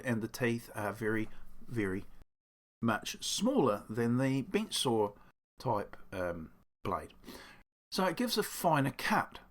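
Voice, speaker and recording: A man with a croaky voice explaining his workshop build in a casual, rambling way, correcting himself as he goes. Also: medium pitch. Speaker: woodworking channel host. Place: home workshop.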